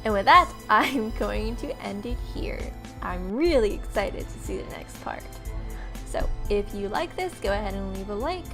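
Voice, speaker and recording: A woman's voice: medium pitch, animated, moderate pace, bright, forceful tone, faint music behind. Woman reading a visual novel aloud. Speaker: video game let's player.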